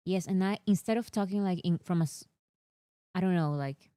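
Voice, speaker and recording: A young woman hosting a casual chat with a strong non-native English accent, talking in an excitable, slightly whiny way. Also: lowish voice, clean tone, dry recording, studio quality. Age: young adult. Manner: excitable, whiny, talks fast about fandom news. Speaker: host.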